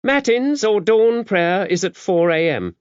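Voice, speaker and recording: A stern, large-framed older nun as a male audiobook narrator plays her, gruff and matter-of-fact. The voice is lifted well up in pitch and moves in lively swoops. She is brusque and worldly-wise. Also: unhurried, no echo, lo-fi sound. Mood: brisk, drilled. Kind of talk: audiobook character dialogue.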